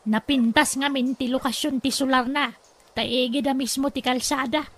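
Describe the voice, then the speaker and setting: An actress with an Ilocano accent playing a conniving middle-aged wife in a radio drama. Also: female voice, high-pitched, steady tone, normal speed. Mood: sly, eager. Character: conniving wife egging on her scheming husband.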